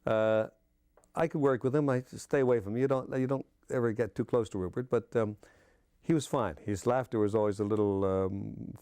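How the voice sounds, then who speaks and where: A man's voice: lowish voice, fairly even intonation, fairly quick, mellow, clear, resonant tone, dry recording. An older man, a veteran radio actor, reminiscing casually in an interview in a blunt, colloquial way. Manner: blunt, colloquial, punctuated with "you know".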